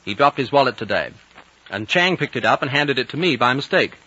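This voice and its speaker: A man's voice: medium pitch, expressive, a little fast, bright, forceful tone. An actor playing a hot-blooded, eager young man in a 1950s radio drama, blunt and boyish. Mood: insistent, accusing. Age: young adult. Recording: dull 1950 radio recording.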